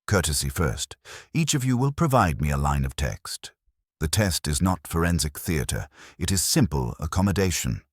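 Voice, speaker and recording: Guy doing imperious detective man for a mystery audiobook, super low voice, pompous n curt, very dry close sound. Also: big pitch swings, husky, no room sound, heavily acted. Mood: stern, formal. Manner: pompous, measured, formal. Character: imperious, precise male private detective, formal and curt.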